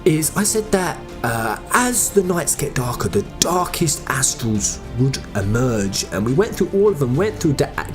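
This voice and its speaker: An emphatic, informal host giving excited fan theory commentary on a video game. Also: mid-range voice.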